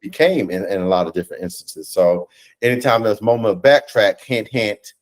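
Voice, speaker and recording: An American man in his thirties speaking earnestly as an interview guest on a video call, halting and repeating phrases for emphasis. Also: on the low side, animated. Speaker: guest, stage play cast or crew member. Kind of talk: reflective conversational interview. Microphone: video call microphone.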